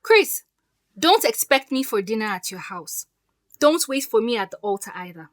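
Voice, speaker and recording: A woman's voice: fairly high pitch, big pitch swings, moderate pace. Woman playing a poised, cutting fiancée. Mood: hurt, resolute. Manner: pointed, sarcastic politeness. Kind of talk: audio drama acting.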